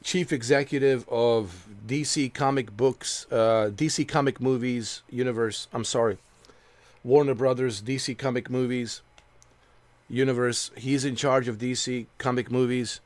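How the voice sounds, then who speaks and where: A man talking casually while he streams a game, meandering from thought to thought with plenty of fillers and restarts. His voice sits at a middling pitch, and the sound is dry and close with no room echo. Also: fairly even intonation, a little slow, darkish tone. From Bosnia. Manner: rambling, repeats himself, lots of fillers. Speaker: gaming streamer. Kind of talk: casual chat while playing.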